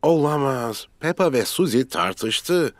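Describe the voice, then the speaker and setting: A man narrating a scripted children's cartoon as a storyteller, in simple, clear sentences. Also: mid-range voice, animated, slow, noisy background.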